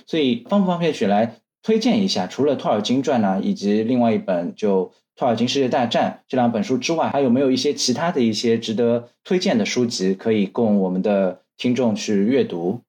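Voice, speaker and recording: Lower-pitched man hosting a podcast. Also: lively intonation, brisk, dark tone. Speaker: podcast host. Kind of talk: conversational interviewing.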